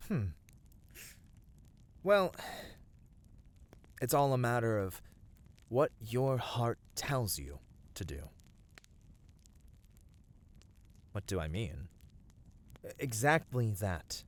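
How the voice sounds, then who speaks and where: A man playing a gentle, caring companion of a fantasy hero in a scripted ASMR roleplay, speaking soothingly and earnestly in formal phrasing. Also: mid-range voice. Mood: soft concern.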